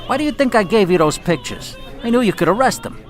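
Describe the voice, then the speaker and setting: A man's voice: high voice, lively intonation, quick pace, a faint crowd. A shrewd, proud Italian-American mafia boss acted by a man holds court in a radio crime drama. He talks with wry, streetwise ease, and music plays prominently behind him. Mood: knowing, wry. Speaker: voice actor.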